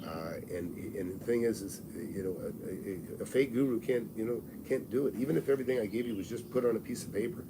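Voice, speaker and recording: A man's voice: fairly low pitch, quick pace, hardly any pauses, background noise. A man in his sixties and a real estate mentor who hosts a live advice stream, talking in a blunt, folksy, salesy way and often restarting his sentences. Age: 60s.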